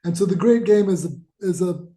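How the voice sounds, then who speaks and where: A man's voice: sits high, fairly quick, dark tone. A middle-aged man from Malaysia, an author talking as an interview guest, telling his stories with enthusiasm. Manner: enthusiastic, storytelling. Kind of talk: conversational interview. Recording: roomy echo.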